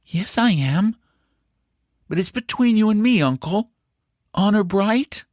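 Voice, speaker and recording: A man's voice: high-pitched, animated, slow pace, a little mellow, no echo, studio quality, voice pushed up. A man voicing a grave, earnest young boy who shares secrets in a storybook. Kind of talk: storybook character dialogue.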